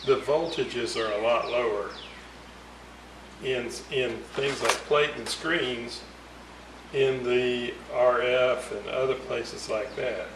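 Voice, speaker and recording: A man's voice: medium pitch, fairly even intonation, slow pace. A man giving a casual, unscripted technical explanation as a hobbyist restorer, thinking aloud hesitantly in broken phrases. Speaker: hobbyist radio restorer presenting a repair series. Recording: roomy, distant mic, clear ambient noise.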